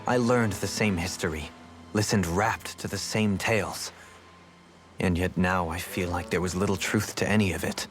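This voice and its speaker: A refined rebel nobleman from a video game voiced by a man, with a low voice and an eloquent, formal delivery. He sounds pensive and articulate, with music playing behind him. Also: steady tone, normal speed, close to an everyday voice. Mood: serious, musing. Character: refined, thoughtful nobleman turned rebel ally. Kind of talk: video game English dub acting. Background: background music.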